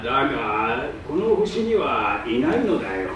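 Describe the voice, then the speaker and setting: A man playing a pompous, eager old geographer in a theatrical staged reading, with drawn-out, emphatic pronouncements. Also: medium pitch, sing-song, a bit bright. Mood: matter-of-fact. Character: pompous, eager old male geographer, self-styled scholar. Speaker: stage reader. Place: public hall stage. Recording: distant mic in echoey hall, faint noise.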